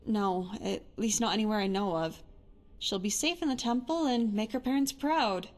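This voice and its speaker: A woman's voice: medium pitch, moderate pace, close to an everyday voice. Woman playing a tough, sardonic adventurer companion. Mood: sad, uneasy. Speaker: voiced follower in a game mod. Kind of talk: fantasy video game character dialogue.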